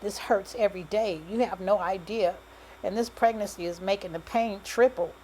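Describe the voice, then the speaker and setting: A woman's voice: medium pitch, normal speed, darkish tone, a little hiss or hum, voice pushed up, natural voice, low-quality recording. A woman voicing a heartbroken, stubborn young woman, emotional and slangy, in audiobook dialogue. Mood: hurt, upset.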